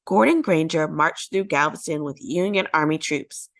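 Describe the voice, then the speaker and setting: A woman's voice: sits low, normal speed, a bit bright, dry recording. A woman narrating a children's storybook in a dramatic, emphatic read-aloud style, drawing out key words.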